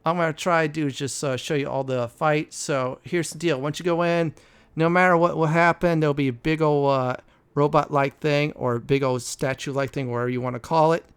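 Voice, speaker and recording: A man hosting a casual video game walkthrough, often pausing mid-sentence before key words. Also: a little high, steady tone, clean tone. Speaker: gaming video host.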